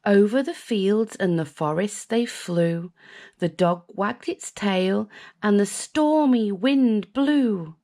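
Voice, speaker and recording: A woman with a British accent reading a children's picture book aloud in an expressive, sing-song way, with asides to young listeners. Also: mid-range voice, slow pace. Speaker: storyteller, children's channel host.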